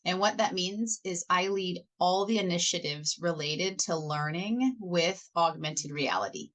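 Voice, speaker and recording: An American woman explaining her work in measured phrases as a panelist on an online call. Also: on the low side, a bit bright. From United States. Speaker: panelist, head of education. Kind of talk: self-introduction on a panel.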